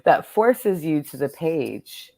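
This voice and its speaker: Woman, very low pitch, dry sound, informal interviewer, reflective, rephrases often. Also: sing-song, slow, creaky, darkish tone, dry recording. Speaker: poet hosting a conversation. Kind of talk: casual reflective interview chat.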